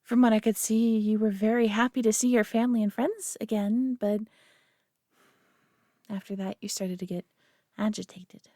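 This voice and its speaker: A woman's voice: medium pitch, steady tone, moderate pace, pauses often, no echo, studio quality, lightly acted. A woman voicing a prideful, doting alien who owns a human pet, teasing and condescending yet affectionate in an intimate audio roleplay. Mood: teasing, coaxing. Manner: teasing, condescending, affectionate, often hushed and breathy.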